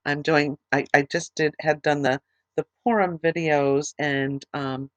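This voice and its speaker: A woman's voice: low voice, dry recording. Tired woman reading scripture, dry sound. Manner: plain, steady reading with small halting restarts. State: tired, voice fading late at night. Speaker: Bible study teacher. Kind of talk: reading scripture aloud and teaching.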